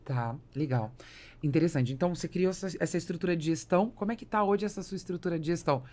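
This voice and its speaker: A woman's voice: fairly low pitch, quick pace, darkish tone. A Brazilian woman hosting a conversational business podcast, summing up and confirming what she hears. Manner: summarizes and confirms the guest's points. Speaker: podcast host, interviewer.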